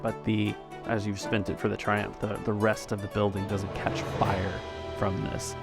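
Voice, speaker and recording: A man working as game master, narrating a tabletop story in a halting, measured way. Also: lowish voice, flat delivery, over loud music.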